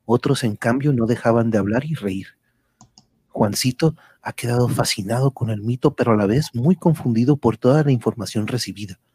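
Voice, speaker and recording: A man with a husky voice narrating a scripted radio drama as a storyteller. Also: mid-range voice, dark tone, no room sound.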